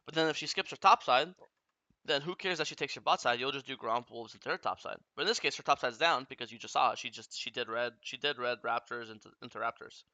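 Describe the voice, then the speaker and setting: A man's voice: mid-range voice, sharp tone, no room sound, lo-fi sound. A young man coaching a player through a game replay, talking bluntly and often swearing as he explains. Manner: blunt, profane, rapid explaining.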